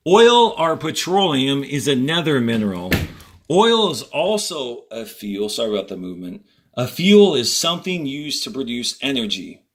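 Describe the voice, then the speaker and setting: A man teaching children, reading a textbook aloud and explaining it step by step in short, deliberate directions. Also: mid-range voice. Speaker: fourth-grade teacher. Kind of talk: instructional read-aloud of a textbook to pupils.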